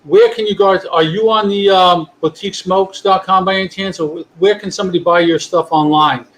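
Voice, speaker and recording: New guy hosting a chat show, rambly and easygoing, slightly high voice, faint hiss on the line. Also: brisk. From New York. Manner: rambling, relaxed, many restarts. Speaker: podcast host. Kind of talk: casual chat. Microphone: video call.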